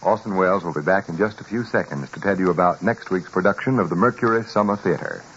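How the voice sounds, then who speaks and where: A man's voice: low voice, fast, fairly bright tone. A man announcing on 1940s radio, reading sponsor copy in a formal, polished, emphatic style. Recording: muffled, faintly noisy vintage radio recording.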